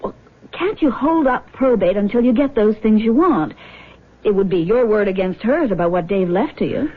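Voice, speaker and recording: An American actress playing a practical, concerned young daughter in a radio drama, earnest and questioning. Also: female voice, mid-range voice, animated, normal speed, breathy edge. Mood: practical, admonishing. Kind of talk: 1970s radio drama acting. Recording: lo-fi muffled vintage radio recording.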